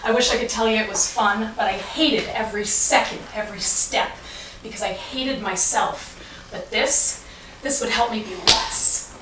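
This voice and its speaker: A woman's voice: mid-range voice, fairly even intonation. Woman performing rhyming monologue, echoey and distant. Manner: dramatic, deliberate storytelling with singsong rhyme. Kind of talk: rhyming spoken-word monologue on stage. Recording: echoey, noisy room from far off.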